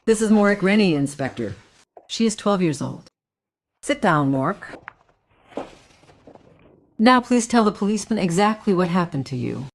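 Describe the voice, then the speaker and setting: Woman as school headmistress in radio play, formal, brisk, mid pitched, bossy. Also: expressive, a little hiss or hum, close to an everyday voice. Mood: instructing. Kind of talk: radio drama acting.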